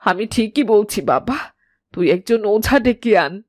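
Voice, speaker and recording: A woman's voice: a little high, animated, normal speed, background noise, natural voice. A woman voicing a kindly, anxious elderly village mother-in-law in a cartoon, fretful and tender. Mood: alarmed. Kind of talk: animated cartoon voice acting.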